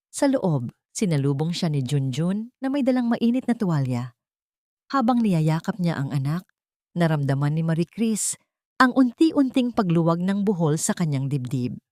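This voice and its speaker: A woman's voice: lowish voice, darkish tone, no room sound, studio quality. A woman narrating a scripted dramatic story in a solemn, sentimental manner.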